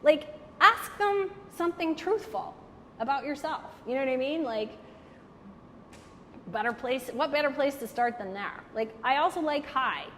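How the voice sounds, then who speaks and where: Animated woman speaker in a reverberant hall. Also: sits high, normal speed. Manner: candid, joking, animated, frequent "like". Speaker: networking speaker. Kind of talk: lively talk to an audience, taking questions. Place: room with a live audience. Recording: hall reverb, faint background noise.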